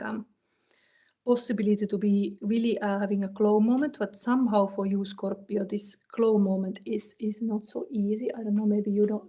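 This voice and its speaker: A woman from Finland with a Finnish accent, casually reading tarot on a live stream and chatting to viewers in halting, non-native English as she thinks aloud. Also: medium pitch, mellow. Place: warm indoor room. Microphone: phone.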